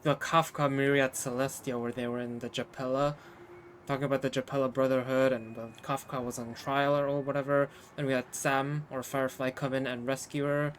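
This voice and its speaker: A man's voice: mid-range voice, flat delivery, brisk, slight background noise. A man streaming a game, reading its narration aloud and reacting casually.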